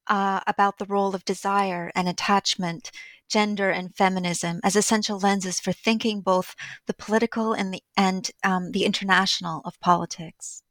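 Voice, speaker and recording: Woman interviewer, measured and scholarly, mid-pitched, dry close sound. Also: a bit bright, no echo. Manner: measured, long carefully framed questions.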